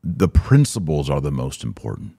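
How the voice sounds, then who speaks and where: A man's voice: rumbling low, sing-song, normal speed, creaky, dark tone, breathy edge, no room sound, studio quality. A man hosting a live podcast, giving casual commentary and explaining things conversationally to listeners. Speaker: podcast host.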